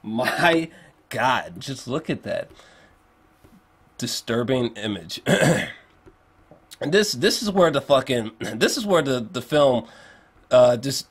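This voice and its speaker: A man giving a casual spoken movie review, swearing freely and repeating phrases for emphasis in an animated, emphatic way. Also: medium pitch, takes it slowly, pauses often. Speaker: film reviewer.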